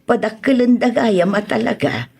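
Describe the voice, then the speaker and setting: Mellow, husky older mother. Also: fairly high pitch, normal speed, exaggerated voice. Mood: mildly curious. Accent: northern Philippine. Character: older mother, caring and practical, of a grown son. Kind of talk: radio drama acting.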